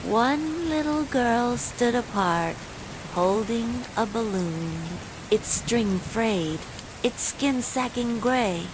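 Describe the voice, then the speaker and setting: A woman reading a scripted bedtime horror story in a measured, suspenseful way, as a storyteller. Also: a little high. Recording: steady loud rain noise throughout. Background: falling rain.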